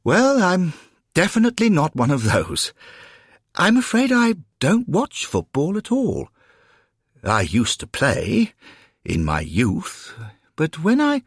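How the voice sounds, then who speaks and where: Bewildered Victorian gent for an audiobook, performed by a man: courteous, hesitant, pitched high with very lively swings. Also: takes it slowly, slightly rough, dry recording, clean studio sound, full character voice. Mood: polite, hesitant. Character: polite, bewildered Victorian gentleman, newly dead.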